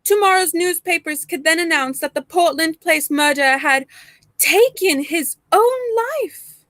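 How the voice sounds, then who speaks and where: A woman's voice: very high voice, measured pace, fairly bright tone, no echo. A young woman playing a suave, menacing professor and secret spy in a 1930s radio play, genteel and theatrical. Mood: silkily threatening.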